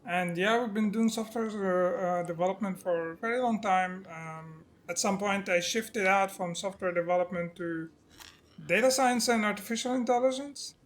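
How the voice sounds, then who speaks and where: A man's voice: sits high, moderate pace. A man, an indie game developer guest, talking casually with laughs and repeated starts. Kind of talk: casual interview chat.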